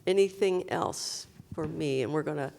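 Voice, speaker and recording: A woman moderating a public panel, brisk and upbeat as she hands off to the next speakers. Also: low voice, creaky, darkish tone, a little hiss or hum. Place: museum meeting room.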